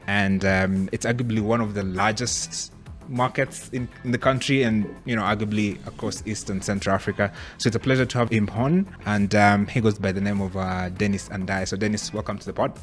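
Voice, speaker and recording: A male podcast host with a somewhat low voice, talking in a relaxed, casual way. He pauses often with small fillers as he introduces his guest. Faint music sits quietly underneath. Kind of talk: casual interview.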